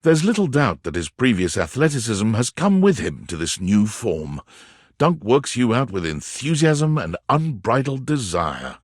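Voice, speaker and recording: A man playing a wry, warm storyteller who narrates a dating game in the second person, theatrical and with deliberate dramatic pauses. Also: fairly low pitch, husky, no echo, clean studio sound, full character voice.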